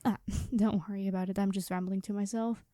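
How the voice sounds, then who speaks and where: A woman's voice: mid-range voice, steady tone, brisk, warm tone, no echo, clean studio sound, close to an everyday voice. A woman playing a quirky, chatty time traveler from the future, rambling and flustered, in an ASMR roleplay. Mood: playful, rambling. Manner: rambling, flustered, hesitant, cheery. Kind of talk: ASMR storytelling roleplay, one-sided conversation.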